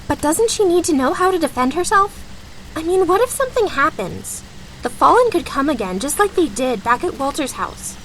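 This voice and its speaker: A woman's voice: sits high, big pitch swings, normal speed, fairly bright tone, slight background noise, rough recording. An actress playing a worried young woman apprentice who protests with anxious, pleading questions. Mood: protesting. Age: young adult.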